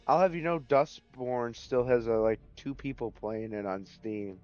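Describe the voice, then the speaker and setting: A man hosting a casual gaming stream, talking loosely and rambling, his voice hoarse and starting to crack. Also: medium pitch, animated, a little slow, darkish tone, highs cut off, soft background music. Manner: loose, rambling, signs off with jokey farewells. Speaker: stream host.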